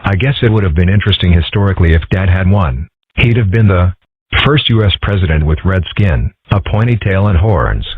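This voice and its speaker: A man voicing a calm, dry-witted young dragon son with a deadpan, measured delivery in a comic dub. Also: low voice, breathy edge, dry recording, narrow, tinny audio, full character voice. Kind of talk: comic dub character acting.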